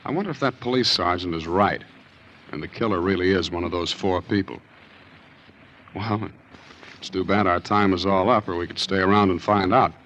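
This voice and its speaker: An actor playing a wry, sinister sergeant who hosts a 1940s radio mystery show in a teasing, theatrical manner. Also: male voice, low voice, moderate pace, a bit bright, a little hiss or hum. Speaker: host. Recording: muffled old radio with music behind. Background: music.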